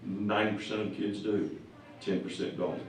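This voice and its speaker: Man, deep voice, slightly hoarse, plainspoken, distant mic, heavy room echo. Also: flat delivery, moderate pace. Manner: plainspoken, asks the board pointed questions. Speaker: parent giving public comment. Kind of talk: public comment at a board meeting. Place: school board meeting room.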